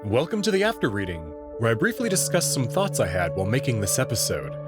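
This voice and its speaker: Man narrating expressively with lively intonation. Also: mid-range voice, quick pace, over loud music. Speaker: narrator and host. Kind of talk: scripted narration and commentary.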